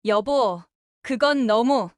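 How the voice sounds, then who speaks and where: A woman acting as a defensive wife in a family audio drama. Her voice is quite high, she offers evasive excuses, and the sound is very dry. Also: lively intonation, takes it slowly, dry recording. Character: defensive daughter-in-law making excuses to her husband. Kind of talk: dramatized family story dialogue.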